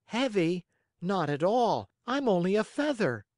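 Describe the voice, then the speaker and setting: A storyteller voicing an emotional young wooden puppet boy in a high, childlike, pleading voice. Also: very animated, unhurried, a little mellow, no room sound, studio quality. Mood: eager. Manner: emotional, wailing, eager.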